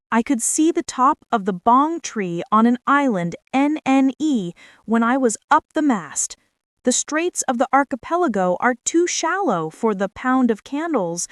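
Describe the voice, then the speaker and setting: A woman's voice: high voice, no echo, studio quality, voice pushed up. A woman voicing a sly, brusque ship's cat who gives bossy instructions in a children's storybook.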